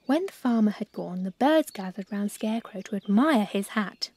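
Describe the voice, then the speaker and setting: A woman narrating a children's story from a script, in an expressive, careful storytelling manner. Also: mid-range voice, moderate pace, husky, dry recording.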